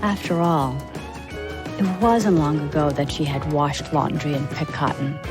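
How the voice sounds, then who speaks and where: Woman storyteller, lower-pitched, over music. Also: steady tone, measured pace. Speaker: storyteller narrating a children's biography podcast. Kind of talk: scripted narration, storytelling.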